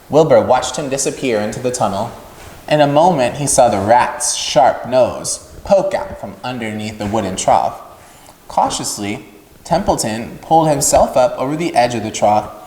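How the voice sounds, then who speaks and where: A man reading a storybook aloud to children in an expressive narrating style. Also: mid-range voice. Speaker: children's story-time reader. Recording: echoey room with long reverb.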